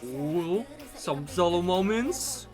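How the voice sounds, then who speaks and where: A man's voice: sits high, animated, takes it slowly, a little mellow, faint music behind. A man hosting reaction videos, talking casually in a rambling, enthusiastic way. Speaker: K-pop reaction video host.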